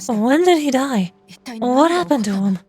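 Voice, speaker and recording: A woman's voice: sits high, animated, unhurried, over music. A woman voicing a tough, blunt young female mercenary in a fantasy game, in an amateur read. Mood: questioning. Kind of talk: amateur voice acting of game dialogue.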